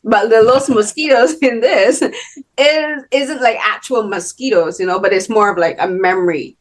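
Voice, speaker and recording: A woman's voice: on the high side, normal speed. A young woman with a Bajan accent hosting an interview, talking in long loose sentences full of restarts and "like" fillers. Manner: rambling, many restarts and "like" fillers. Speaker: host. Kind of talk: casual interview chat.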